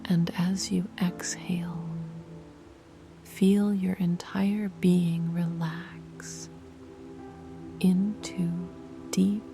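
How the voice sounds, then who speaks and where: A woman whispering soft, soothing guided meditation instructions. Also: fairly low pitch, level tone, very slow, long pauses. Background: music.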